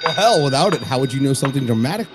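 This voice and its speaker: A man's voice: mid-range voice, expressive, rapid-fire, loud music behind the voice. A man giving casual, sweary joking commentary in a bantering way. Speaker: comic commentator.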